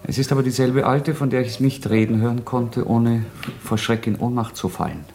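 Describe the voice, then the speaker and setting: An actor in a German radio drama playing a brooding young murder suspect with a theatrical, mocking delivery that shifts between hushed and explosive. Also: male voice, medium pitch, fairly quick, a little hiss or hum, natural voice. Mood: taunting, provocative. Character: feverish, taunting young male murder suspect, brooding and mercurial.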